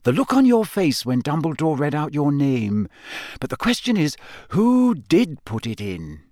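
A man's voice: on the high side, wildly swooping pitch, unhurried, darkish tone, no echo, studio quality, voice pushed up. A man voicing an earnest, clever teenage schoolgirl who talks urgently and insistently.

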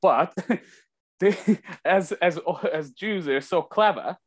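A man's voice: sits high, slow pace. A man from Kazakhstan chatting casually as an interview guest, telling anecdotes and acting out bits of dialogue as he goes. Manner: animated retelling with acted-out dialogue. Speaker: interview guest, former hockey player.

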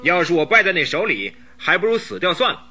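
An actor playing an arrogant count who leads a gang, speaking defiantly and boastfully in a radio drama. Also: male voice, high-pitched, animated, fairly quick, sharp tone, slight background noise, full character voice. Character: arrogant, stubborn male count and gang boss.

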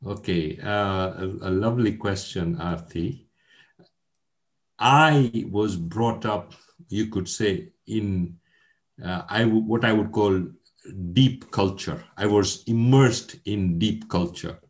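A man in his sixties with a very deep, low-pitched voice speaks as an interview guest. He talks in an earnest, measured way, often pausing and restarting while he thinks. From Kenya. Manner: measured, earnest, with frequent hesitations. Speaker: guest, education academic. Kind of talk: conversational interview.

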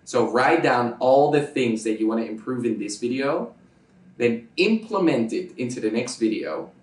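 A man's voice: medium pitch, lively intonation, normal speed, far from the mic. A Dutch man whose first language is Dutch, a YouTuber answering interview questions, emphatic and repeating his points. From Netherlands. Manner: emphatic, repeats points, checks agreement with "right?". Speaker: YouTuber guest. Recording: roomy sound from off-mic.

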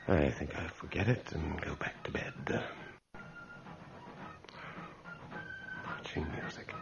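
A man's voice: low voice, wildly swooping pitch, normal speed, creaky, heavily acted. An actor playing a cocky but increasingly rattled man muttering to himself in a radio horror drama. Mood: puzzled, irritated. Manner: muttering and exclaiming to himself, sardonic bravado. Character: cocky, increasingly rattled man spending a night in haunted room. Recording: muffled, slightly noisy old radio drama recording.

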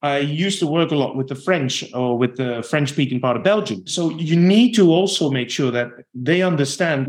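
A man from the Netherlands with a Dutch accent, a podcast guest giving long, reflective answers about his sales career. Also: fairly high pitch. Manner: reflective, talks in long explanatory runs. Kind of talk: podcast interview.

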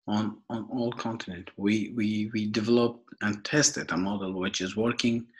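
A young man from Afghanistan with an Afghan accent, giving a prepared podcast introduction in a formal, halting way, often repeating and rephrasing. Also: on the low side, low-quality recording. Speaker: podcast host and foundation worker.

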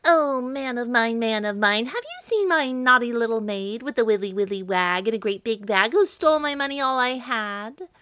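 A woman's voice: a little high, expressive, normal speed, gravelly, few pauses, a bit bright, dry recording, clean studio sound. A woman voicing an angry old witch calling out from her broomstick in a sing-song chant. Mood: calling angrily.

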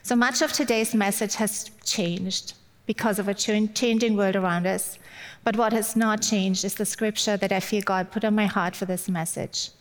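A woman's voice: mid-range voice, fairly even intonation, normal speed. A middle-aged woman from Germany with a German accent, giving a personal, lightly humorous church sermon as a guest speaker. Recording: long hall-like reverb.

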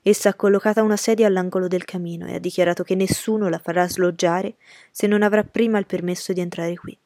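A woman's voice: lowish voice, fairly even intonation, fast talker, a little mellow, dry recording, studio quality, lightly acted. A woman reading the part of a deferential, uneasy male servant bringing messages in an audiobook story. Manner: deferential, hesitant.

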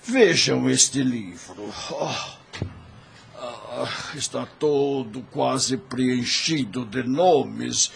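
Tired old priest, radio serial: gravelly, slow, faint noise behind. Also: male voice, medium pitch, exaggerated voice. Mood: weary. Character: weary elderly clergyman at a cemetery. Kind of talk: radio drama acting.

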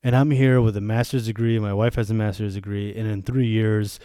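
A thirty-year-old man rants through a solo podcast with sardonic, profane candor. His voice sits a little low and sounds mellow, smooth and resonant. The recording is dry and close, with no room around it. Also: flat delivery, brisk, no room sound. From born in Germany to Bosnian refugees, raised in the US. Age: 30s. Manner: venting, sardonic, swears freely. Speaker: podcast host. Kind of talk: candid solo podcast monologue.

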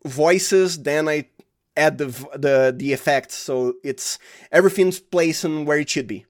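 Brazilian male guest, Portuguese native, slightly high voice, halting conversational delivery with frequent restarts. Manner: halting, restarts phrases often, chuckles. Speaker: sound designer, interview guest. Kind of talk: casual interview chat.

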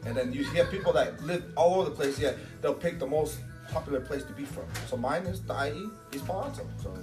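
A man's voice: on the high side, animated, fast. A man in a casual group chat, telling stories in a rambling way and circling back to repeat and rephrase his questions. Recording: music behind the voice. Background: music.

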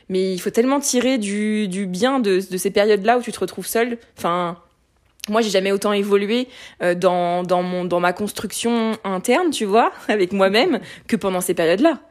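A woman's voice: mid-range voice, brisk. Candid woman in her late twenties. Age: late 20s. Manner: candid, animated, many fillers and restarts. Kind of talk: casual conversation.

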